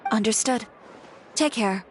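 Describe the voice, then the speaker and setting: A woman's voice: on the high side, a little slow, faint music behind, some chatter behind, close to an everyday voice. A woman voicing a proud, blunt and composed female boutique owner in an anime game. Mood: cool, defiant. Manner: curt, composed, unsentimental.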